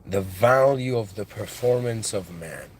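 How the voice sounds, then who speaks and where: Slow, low-voiced man musing on faith. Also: animated, a little hiss or hum. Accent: non-native English. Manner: musing, halting, with restarts and devout phrases. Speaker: channel host. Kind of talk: reflective spiritual monologue.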